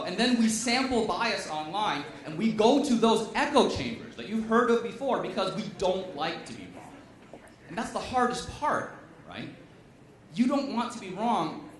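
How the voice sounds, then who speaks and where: Male professor, wry stand-up delivery, fairly high lively voice, heard distant in a reverberant venue. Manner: wry, emphatic, punchy delivery. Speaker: chemistry professor giving a comedic science talk. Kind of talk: stand-up style science talk. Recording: echoey room, voice from a distance.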